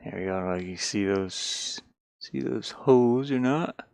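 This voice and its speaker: A man's voice: fairly low pitch, moderate pace, vocal fry, darkish tone, dry recording, clean studio sound. A man live streaming casually as he works with his hands, muttering and meandering as he thinks aloud through each step for viewers. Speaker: live streamer doing a DIY build. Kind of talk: casual chat while working, thinking aloud.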